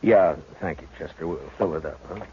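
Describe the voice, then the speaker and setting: An actor playing a stern US Marshal of the Old West in a 1950s radio western, with an American accent and terse, measured delivery. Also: male voice, low-pitched, close to an everyday voice. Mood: weary, somber. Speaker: lead actor, also narrates the story. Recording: muffled, noisy 1950s radio recording.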